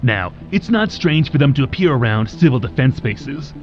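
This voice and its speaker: Man playing an expressive, lecturing radio expert. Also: medium pitch, dull audio, soft background music, lightly acted. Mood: earnest. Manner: measured, formal, lecturing. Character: earnest male expert on strange sky lights. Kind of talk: radio show commentary, acted.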